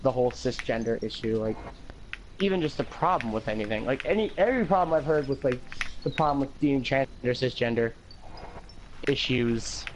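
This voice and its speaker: A teenage man chatting on a livestream, with a higher-pitched voice, an occasional lisp and many "like"s and curses. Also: brisk, a little hiss or hum, low-quality recording. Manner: talkative, rambling with many "like"s, swearing, animated laughs. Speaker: livestream host. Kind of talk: casual chat on a game livestream.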